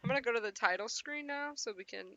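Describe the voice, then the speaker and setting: Creaky, higher-voiced woman hosting a game stream. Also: fast talker, highs cut off, a crowd in the background. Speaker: host of a visual novel livestream. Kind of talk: narration read aloud and casual stream chat. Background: game music.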